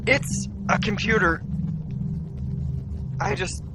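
An actor playing an anxious, grieving young man, a colony technician, earnest and halting in a sci-fi audio drama. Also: sits high, loud music behind the voice. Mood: shaken, grieving. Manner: halting, earnest, self-doubting.

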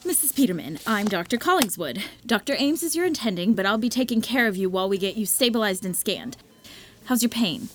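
A woman's voice: mid-range voice, expressive, brisk, slight background noise, natural voice. An actress playing a polite, kindly, slightly flustered woman doctor in a comedic audio drama. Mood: professional, kind. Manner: polite, apologetic.

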